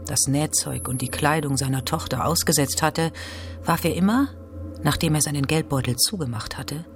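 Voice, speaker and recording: A man's voice: on the high side, moderate pace, slight background noise. A man reading literary narration from a script for a radio drama.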